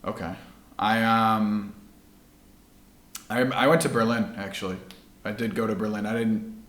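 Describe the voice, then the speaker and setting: A man's voice: fairly low pitch, fairly even intonation, measured pace, fairly bright tone, echoey room. A male podcast host chatting in a laid-back way, saying "like" a lot. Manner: laid-back, heavy use of "like". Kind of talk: casual chat.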